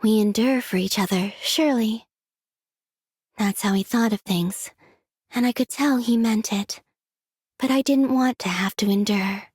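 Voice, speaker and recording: An actress voicing a gentle, aloof young woman in a video game, with a soft breathy voice and unhurried, wistful, quietly curious delivery. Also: a little high, no echo, studio quality. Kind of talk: scripted game character voice lines.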